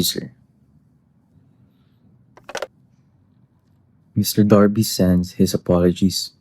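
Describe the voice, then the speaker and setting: A formal society butler played by a man from a comic play. His voice is low and very dark and soft-edged. He speaks with stiff, servile courtesy. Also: dry recording, low-quality recording. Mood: formally apologetic. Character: formal, deferential male butler of a society household. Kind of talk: theatrical stage comedy acting.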